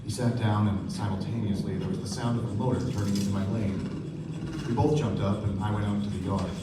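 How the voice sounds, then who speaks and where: Actor as Nick Carraway, echoey low-voiced narrator. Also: male voice, fairly even intonation, a little fast, natural voice. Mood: narrating, measured. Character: Nick Carraway, young male narrator, polite and wry. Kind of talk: scripted narration in a live radio play. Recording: very echoey, distant, noisy stage recording.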